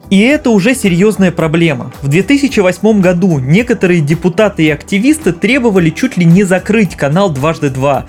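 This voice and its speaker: Casting: man, fairly high voice, film critic reading a video essay with conversational asides, light music behind. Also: darkish tone. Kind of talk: scripted commentary with conversational asides.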